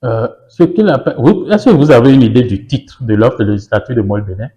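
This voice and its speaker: A man whose first language is Yoruba speaks as an insistent political guest on a televised interview. He hammers his points with repeated phrases and pointed rhetorical questions, in a voice of ordinary male pitch. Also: sing-song, fairly quick, mellow, highs cut off.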